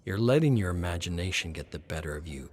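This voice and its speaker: A man's voice: deep voice, expressive, quick pace, slight background noise, pitched below the performer's own voice. A man voicing a young boyfriend on a hike in a horror story, casually brushing off worries. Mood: dismissive. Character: dismissive young boyfriend out hiking.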